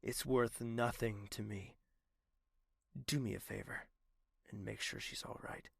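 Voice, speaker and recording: A man's voice: lowish voice, steady tone, unhurried, creaky, slightly rough, no echo, clean studio sound, exaggerated voice. A man playing a proud, betrayed young royal in an audio drama, intense and imperious, with bitterness that can turn volatile. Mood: bitter.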